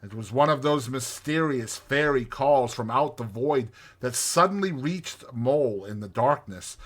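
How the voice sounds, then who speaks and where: A man narrating a classic storybook in an expressive, theatrical way. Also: mid-range voice. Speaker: audiobook narrator.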